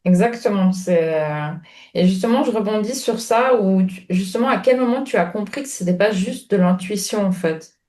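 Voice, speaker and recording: Woman chatting with halting fillers. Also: mid-range voice. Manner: warm, hesitant, many fillers. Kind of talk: conversational talk.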